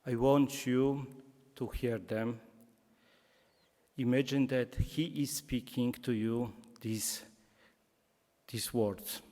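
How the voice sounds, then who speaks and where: A man with a Polish accent giving a public lecture, speaking deliberately and slipping in dry jokes. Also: mid-range voice, steady tone, dark tone. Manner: slow, deliberate, joking asides. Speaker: guest lecturer. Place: lecture hall. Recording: reverberant lecture hall.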